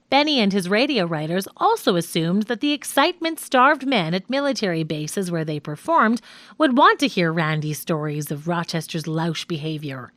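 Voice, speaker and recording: A woman narrates a nonfiction audiobook with articulate, measured delivery. Her mid-pitched voice moves with lively intonation through formal prose, in a very dry, close sound. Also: no echo.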